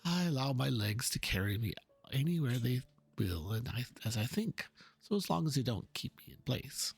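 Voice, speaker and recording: A man's voice: medium pitch, big pitch swings, normal speed, gravelly, slightly rough, soft background music, full character voice. A man voicing an earnest, fretful painter from a video game, speaking politely in formal, wordy lines. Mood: fretful, brooding. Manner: formal, ponderous. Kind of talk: dramatic reading of game dialogue.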